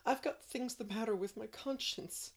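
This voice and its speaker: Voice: medium pitch, very animated, moderate pace, a little mellow, voice pushed up, close to an everyday voice. A narrator voicing an emotional young woman teacher who sobs and pleads over her shame. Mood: distressed. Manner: sobbing, pleading, heightened.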